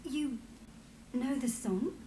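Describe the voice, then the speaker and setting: A woman's voice: sits high, slow, background noise. A woman playing a reserved, cautious former underground runner in a video game, speaking in a guarded, understated way. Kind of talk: scripted video game acting.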